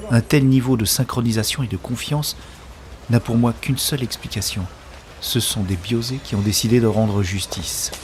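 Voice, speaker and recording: A man's voice: mid-range voice, natural voice. Man acting urgent runner narrating, noisy backdrop. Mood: tense, alert. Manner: urgent, dramatic, short clipped phrases. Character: anxious man racing through a riot to reach a woman. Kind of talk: first-person audio fiction narration in present tense.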